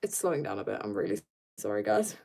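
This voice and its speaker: A woman chatting on a casual drawing livestream, reading out viewer comments, answering them and trailing off into quiet mumbles to herself as she works. She says she is tired. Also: rumbling low, very animated, fast, vocal fry.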